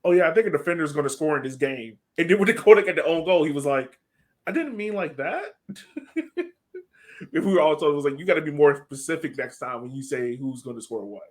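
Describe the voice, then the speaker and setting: A man's voice: on the high side, expressive, fairly quick. A man co-hosting a sports podcast, breaking down a soccer match in an informal way, thinking aloud as he goes.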